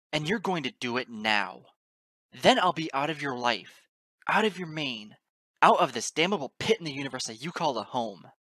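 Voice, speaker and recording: A man voicing a furious, desperate, defiant pony hero in a fantasy story, shouting, snarling and ranting. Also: mid-range voice, no echo, voice pushed up. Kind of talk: dramatic fantasy story reading.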